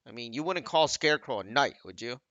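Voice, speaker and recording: A man's voice: mid-range voice, lively intonation, brisk, fairly bright tone, dry recording, highs cut off. A man giving casual, off-the-cuff game commentary, rambling and often second-guessing his own wording. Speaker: gaming video creator.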